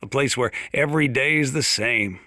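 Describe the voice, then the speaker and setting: Man playing folksy American mountain sheriff, bright gritty edge. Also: medium pitch, moderate pace, no room sound, studio quality, lightly acted. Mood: chatty, wry. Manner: folksy, wry, drawling storyteller. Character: folksy, gruff, sardonic older small-town mountain sheriff. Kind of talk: in-character radio monologue for a video game.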